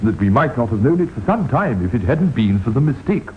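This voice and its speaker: An actor playing a grave, formal official reporting to his superior in a vintage radio drama, with a measured, slightly hesitant manner. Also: medium pitch, very animated, phone-quality sound, natural voice. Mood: grave, concerned. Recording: thin, noisy vintage radio recording.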